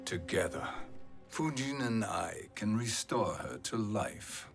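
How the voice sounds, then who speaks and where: An actor voicing a sly older sorcerer in a fighting game, speaking formally with silky condescension. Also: male voice, fairly low pitch, animated, vocal fry, heavily acted. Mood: persuasive, smooth. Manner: measured, unctuous, formal. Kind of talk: cinematic fighting game acting. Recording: dramatic game music behind.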